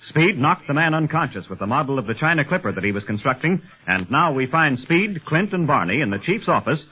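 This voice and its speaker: A man's voice: fairly high pitch, big pitch swings, brisk, husky, muffled recording. A man as the announcer of a vintage radio adventure serial, narrating dramatically on an old recording. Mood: dramatic.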